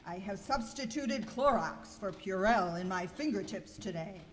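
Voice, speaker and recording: A woman's voice: medium pitch, animated, a little slow, natural voice. A woman playing a confident, wisecracking cleaning superhero in an amateur comic radio skit, declaiming in mock-heroic style. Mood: triumphant. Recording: clearly noisy live room recording.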